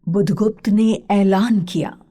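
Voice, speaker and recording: Husky, slow-paced woman storyteller. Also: medium pitch, steady tone, mellow. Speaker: storyteller, narrator. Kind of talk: scripted literary story narration.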